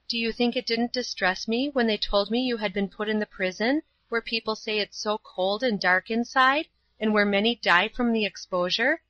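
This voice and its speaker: A woman's voice: on the high side, sharp tone, dry recording, highs cut off. A woman doing an earnest pleading young girl. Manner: fervent, urgent pleading.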